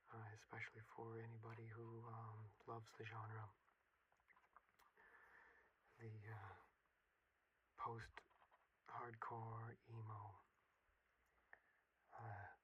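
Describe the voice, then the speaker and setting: ASMR host, medium pitch, slow breathy whisper, gentle delivery, lo-fi phone effect, heavy noise. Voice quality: soft, breathy, mostly whispered. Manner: hushed, unhurried, gentle delivery. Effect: lo-fi telephone voice filter. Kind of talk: soft-spoken ASMR CD examination. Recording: lo-fi telephone effect, loud constant noise.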